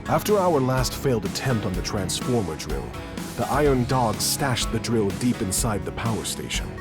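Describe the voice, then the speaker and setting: A man playing a calm, wise martial arts mentor in a video game, speaking in a measured, instructive way. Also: mid-range voice. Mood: instructive, encouraging. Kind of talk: video game cutscene acting. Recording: game music behind the voice.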